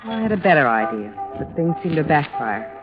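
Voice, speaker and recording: A woman's voice: low-pitched, big pitch swings, fairly quick. An actress playing a cynical, worldly young woman in a 1950s radio drama, cool and sardonic in her clipped replies. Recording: dull lo-fi old radio broadcast with music.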